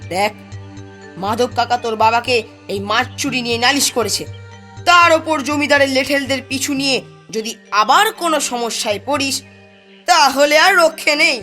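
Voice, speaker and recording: A woman's voice: high-pitched, normal speed, sharp tone, faint music behind. A woman voicing a sensible, cautioning village child in a cartoon, with a coaxing, warning manner. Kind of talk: Bengali cartoon dubbing.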